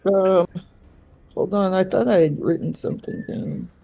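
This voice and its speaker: Female tabletop player: unhurried, hesitant, dry delivery, mid pitch, casual improvised chat. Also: vocal fry, mellow, rough recording. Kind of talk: casual, unscripted in-game chat.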